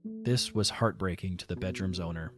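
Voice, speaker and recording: Deep-voiced male storybook narrator. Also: over music. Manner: calm, measured reading.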